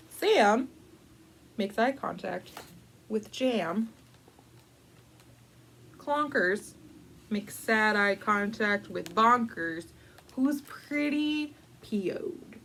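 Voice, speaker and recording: A woman's voice: mid-range voice, sing-song, creaky, a bit bright, slight background noise. Playful woman narrating a picture book. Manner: playful, wry asides with mock-dramatic commitment; conversational, frequently pausing to think aloud. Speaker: library storyteller reading a picture book to adults. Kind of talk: casual, improvised picture-book storytime narration.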